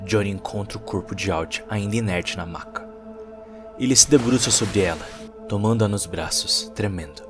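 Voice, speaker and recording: A man's voice: on the low side, moderate pace. A man giving dramatic scripted narration of a cyberpunk story as its narrator. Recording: music behind the voice.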